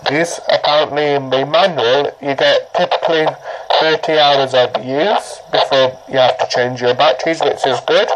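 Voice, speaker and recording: A person giving an informal, unscripted how-to demonstration in a halting, repetitive way, often restarting sentences. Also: mid-range voice, fairly even intonation. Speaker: presenter of a gadget how-to. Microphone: camera or tablet propped nearby. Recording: noisy amateur recording with clicks.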